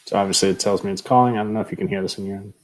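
A middle-aged man giving a casual screen tutorial in a halting, informal way, on an earbud microphone at home. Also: lowish voice, steady tone, poor audio. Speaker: tutorial presenter, educator. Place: room at home, indoors. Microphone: earbud headset microphone.

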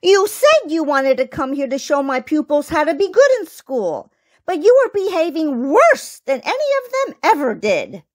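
A woman voicing a prim lady mouse schoolteacher with a squeaky voice, politely scolding in a children's storybook. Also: very animated, moderate pace. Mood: reproachful. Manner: polite, reproachful.

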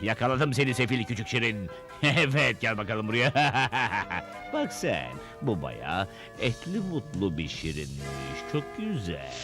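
An actor voicing a scheming, hungry villain in a children's cartoon, theatrical and gloating. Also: male voice, lowish voice, sing-song, a little slow, loud music behind the voice. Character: scheming, hungry villain hunting tiny creatures with his cat.

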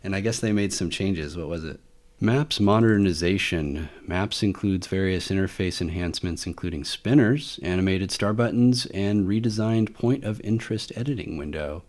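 A man's voice: low-pitched, moderate pace, darkish tone. A man hosting a hobby tech video, talking informally and thinking aloud as he walks through things on screen. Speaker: host of a hobby tech channel. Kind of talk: casual screen-recording walkthrough. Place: indoor voice-over for a screen recording.

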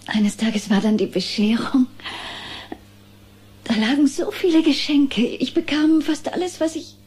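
High-voiced fretful mother played by an actress. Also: lively intonation, unhurried, a little mellow. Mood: wistful, unsure. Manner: rambling, stammering, self-interrupting. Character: worried, fretful mother, rambling and indignant. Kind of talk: German audio drama acting.

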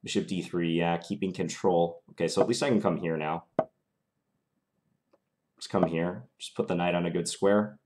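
A man's voice: low voice, steady tone, moderate pace, long pauses, noisy background. A young man with a mild Minnesota accent, streaming live and thinking aloud through his chess moves in a small room. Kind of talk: live chess commentary while playing. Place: small indoor room at home.

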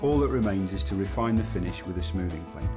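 A man giving measured, step-by-step woodworking instruction as a scripted studio voice-over. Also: deep voice, lively intonation. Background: music.

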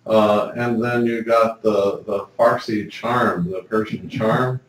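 A man's voice: fairly low pitch, fairly even intonation, slow pace. Middle-aged male interviewer in a roomy office. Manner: friendly, prompting questions. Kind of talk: oral history interview. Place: office meeting room around a table. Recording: roomy, distant office recording.

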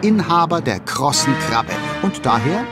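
A man narrating a children's cartoon radio play as a lively storyteller. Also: on the high side, unhurried, over loud music. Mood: scene-setting. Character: animated male storyteller of a cartoon tale. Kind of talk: lively scripted children's audio-drama narration.

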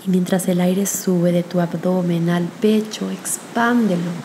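A woman leading a guided spiritual meditation in a gentle, soothing manner with long pauses. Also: lowish voice, flat delivery, a little hiss or hum.

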